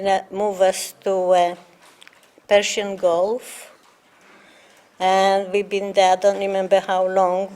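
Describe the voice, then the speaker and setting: An older woman from Poland speaks English with a Polish accent, her first language showing through. She talks slowly, pausing often and filling gaps with "uh" as she recounts her past in an interview. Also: mid-range voice, a little mellow. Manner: halting, with many fillers and pauses. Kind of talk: oral history interview.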